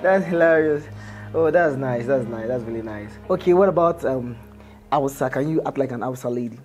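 A man playing a pushy, excitable casting director who repeats himself. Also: mid-range voice, normal speed, soft background music, close to an everyday voice. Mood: excited, amused. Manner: exclamatory, pushy, repetitive.

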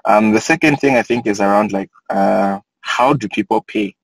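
A man in his thirties, a panelist on an online video call from inside a car, talking in a relaxed, thoughtful way with many fillers. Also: on the low side, normal speed, creaky, no room sound, poor audio. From Kenya.

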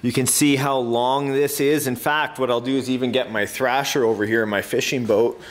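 A man's voice: mid-range voice, fairly even intonation, moderate pace, slight background noise. A man hosting a casual unboxing video, chatty and full of fillers, laughing at his own slips. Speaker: hobby video host. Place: workshop studio with tool cabinets. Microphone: handheld camera mic, host mostly off screen.

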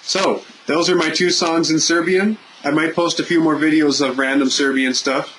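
A young Canadian man with a Canadian accent, vlogging casually from a room at home into a webcam, animated and gesturing, pausing with fillers. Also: fairly high pitch, a little hiss or hum, poor audio. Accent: Canadian English. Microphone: webcam at desk.

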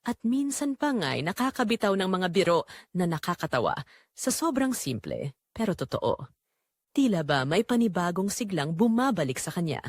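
A woman's voice: lowish voice, sing-song, moderate pace, no room sound, clean studio sound. A woman with a Filipino accent narrating a scripted first-person drama as an earnest young caregiver confessing her inner turmoil.

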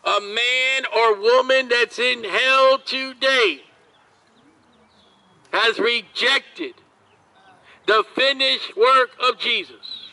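A man preaching outdoors at a market, his voice pitched very high. He speaks very slowly, stretching his words. His tone is sharp and piercing, picked up from across the street. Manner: slow, drawn-out shouted preaching. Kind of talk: open-air street preaching.

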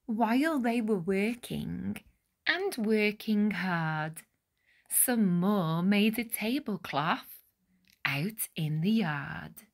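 A woman reading a rhyming children's storybook aloud with deliberate, dramatic phrasing. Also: mid-range voice, animated. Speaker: picture book reader.

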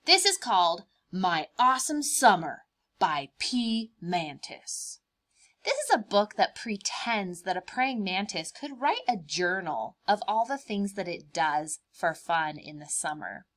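A woman's voice: medium pitch, very animated, unhurried, fairly bright tone. A young adult woman, a preschool teacher, explaining things simply and expressively with questions for young listeners during a read-aloud.

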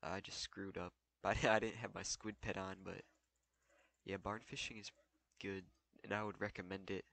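A man's voice: low voice, level tone, moderate pace, gravelly, fairly bright tone. A young man casually walking through a game tutorial while he plays, loose and full of fillers. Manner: loose, filler-heavy, thinking aloud while playing. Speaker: gaming tutorial creator. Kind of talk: casual gameplay walkthrough.

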